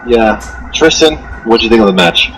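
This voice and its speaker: A man's voice: lowish voice, lively intonation, normal speed, a little hiss or hum, poor audio. A man hosting a casual wrestling chat, joking and crude as he hands out questions. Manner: joking, crude, leads the talk. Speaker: host.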